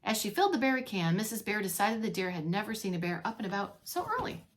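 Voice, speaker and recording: A woman reading a storybook aloud to young children as a teacher, expressively, pausing to comment and ask questions. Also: medium pitch, lively intonation, noisy background. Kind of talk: animated read-along storytelling for young children.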